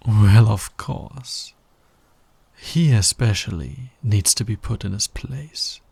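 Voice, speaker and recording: Man acting a husky, low-voiced flirty villain. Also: takes it slowly, a little mellow, dry recording, heavily acted. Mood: smug, confiding. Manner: smug, playful, drawling, teasing. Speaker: voice actor in audio roleplay. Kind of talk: flirty ASMR roleplay acting.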